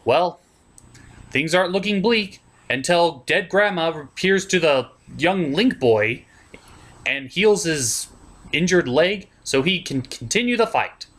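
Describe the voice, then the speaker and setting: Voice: mid-range voice, animated, slow, a bit bright. A puppet show film reviewer giving a partly scripted comedic review in a crude, mock-exasperated ranting manner.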